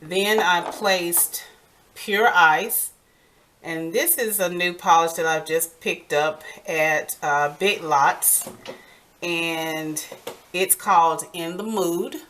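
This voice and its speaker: Woman doing a casual how-to, low voice, real slow, stops and starts a lot. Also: fairly bright tone, a little hiss or hum. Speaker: nail art tutorial host. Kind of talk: casual step-by-step tutorial talk.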